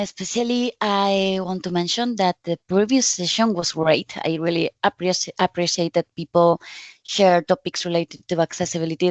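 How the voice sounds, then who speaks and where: A woman from Spain with a Spanish accent, giving a conference presentation in friendly, halting non-native English with frequent restarts. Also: mid-range voice, no echo, lo-fi sound. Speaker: conference speaker.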